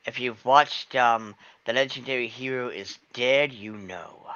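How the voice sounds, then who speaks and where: Bright joking man on muffled audio. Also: mid-range voice. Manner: jokey, quick interjections. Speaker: livestream guest. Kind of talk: casual chat.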